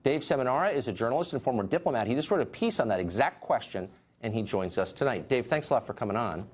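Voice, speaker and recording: A man hosting a news show, interviewing a guest with pointed, animated questions. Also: mid-range voice, quick pace, dull audio. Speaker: cable news host.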